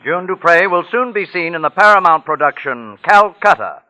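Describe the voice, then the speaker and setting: A man's voice: on the high side, lively intonation, moderate pace, bright, forceful tone, no echo. A man making program announcements in an emphatic, dramatic 1940s radio style, on a vintage broadcast recording.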